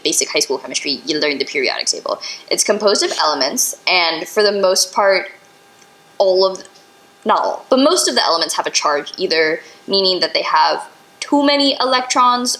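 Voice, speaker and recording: A woman's voice: fairly low pitch, a bit bright, poor audio. A young woman co-hosting a casual educational podcast, chatty and full of fillers as she explains chemistry step by step. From Indian heritage, Bengali. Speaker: podcast co-host.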